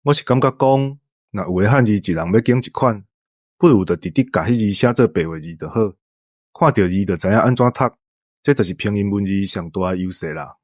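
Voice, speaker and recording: A man's voice: lowish voice, animated, fairly quick, a little mellow, no echo, clean studio sound. A man with a Taiwanese accent co-hosting a show, explaining things in casual conversation. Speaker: co-host. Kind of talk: casual explanatory podcast chat.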